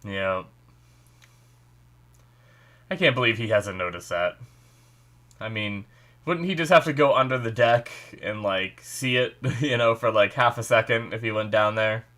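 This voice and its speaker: A man's voice: lowish voice, unhurried, pauses often, a bit bright. A man with a stuffy nose hosting a casual reaction video, rambling and thinking aloud with lots of "like" and "you know".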